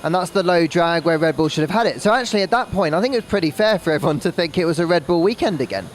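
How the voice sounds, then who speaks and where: A man's voice: on the high side, lively intonation, very fast, slight background noise. A man giving casual sports analysis as a motorsport podcast pundit.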